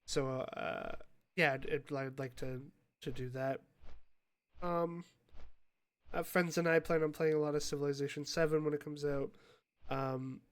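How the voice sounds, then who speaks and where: A man with a cold, sniffly and a bit stuffed up, chatting casually and hesitantly while playing a game, with lots of fillers and restarts. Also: medium pitch. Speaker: gaming YouTuber.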